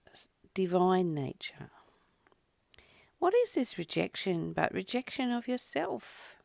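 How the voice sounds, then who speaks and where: A woman hosting a spiritual study, reading a text aloud and commenting on it in deliberate, measured phrases. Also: on the low side, gravelly, darkish tone, no room sound, clean studio sound. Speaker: host of daily spiritual readings.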